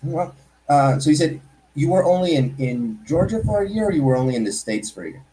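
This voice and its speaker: Guy hosting an interview show, mellow warm tone, easygoing questions. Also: a little high, lively intonation, a little fast, low-quality recording. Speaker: host. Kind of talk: casual interview.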